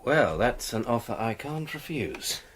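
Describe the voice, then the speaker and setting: An actor playing a man on the phone in a British radio crime drama, husky, wry and clipped. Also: mid-range voice, big pitch swings, measured pace, fairly bright tone. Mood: guarded, wry. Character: man taking an unexpected late phone call.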